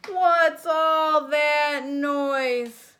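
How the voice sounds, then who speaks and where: Sleepy grumpy male bear from a picture book, done by a woman reader; slow, loud, drawn-out lines. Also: high-pitched, steady tone, pitched above the performer's own voice, exaggerated voice. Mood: weary, grumpy.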